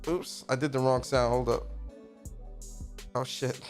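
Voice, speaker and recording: A man in his thirties hosting a casual gaming livestream, reading out viewer comments and giving loose, profane shout-outs to the chat. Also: medium pitch, steady tone, measured pace, soft background music. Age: 30s. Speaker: streamer and podcast host.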